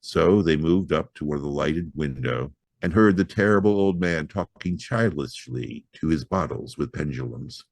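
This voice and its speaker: A man reading a horror story aloud as an audiobook narrator, with theatrical, expressive delivery. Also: rumbling low, normal speed, creaky, hardly any pauses, dry recording.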